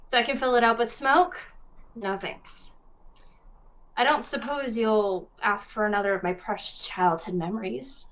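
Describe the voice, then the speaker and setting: A woman's voice: on the high side, unhurried, a bit bright. A woman playing a frustrated, sardonic young woman in drama dialogue. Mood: bitter, exasperated.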